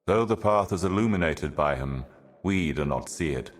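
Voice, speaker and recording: A man voicing an ancient, otherworldly envoy in a video game, delivering cryptic monologues in a solemn, measured, portentous manner. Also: extremely low pitch, lively intonation. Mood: solemn, ominous. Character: ancient cosmic envoy, solemn otherworldly prophet serving higher powers.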